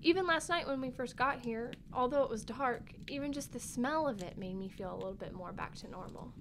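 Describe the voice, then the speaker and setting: A woman playing a sensitive, excitable young girl, a grieving little sister, in a family audio drama, with a childlike, openly emotional manner. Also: fairly high pitch, brisk, noisy background, lightly acted. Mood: sad, confiding.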